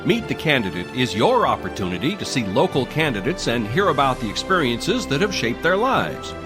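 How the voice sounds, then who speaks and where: A man's voice: mid-range voice, big pitch swings, brisk. A man reading a scripted show introduction in an upbeat delivery. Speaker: show announcer. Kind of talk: scripted announcement. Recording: music bed under voice. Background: music.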